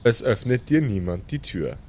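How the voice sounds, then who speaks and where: A man's voice: lowish voice, very animated, normal speed, background noise. A man narrating an improvised pen-and-paper audio drama as game master.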